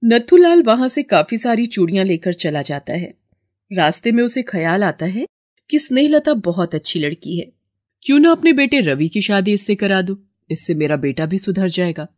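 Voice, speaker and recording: Brief: storybook narrator, woman, mid pitch, very dry close sound. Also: expressive, normal speed, a little mellow, dry recording.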